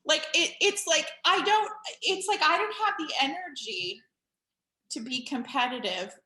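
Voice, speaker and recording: A woman hosting a casual interview, calmly building on her guest's points. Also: high-pitched. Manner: unhurried, thoughtful, expands on the guest's points. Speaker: host. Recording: roomy echo.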